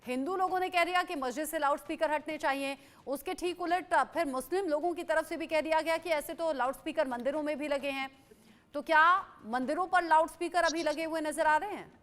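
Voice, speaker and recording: A woman's voice: high voice, fairly quick, fairly bright tone. A woman anchoring live television news, stating and repeating key points emphatically and putting questions to a correspondent.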